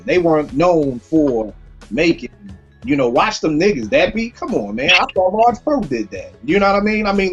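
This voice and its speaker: A man in his forties hosting a casual discussion, putting questions to his panel in a lively way. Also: medium pitch, slightly rough. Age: 40s. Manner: animated, quizzes the panel. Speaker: podcast host. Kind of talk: casual conversation. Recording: lo-fi, faint music behind.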